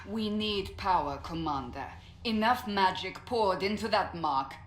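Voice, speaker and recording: An actress playing a stern, dutiful warrior woman in a video game cutscene, speaking in a firm, formal, measured way. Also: medium pitch, animated. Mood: grave, resolute. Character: stern, dutiful warrior woman leading a fantasy war council. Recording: roomy echo, noisy ambience.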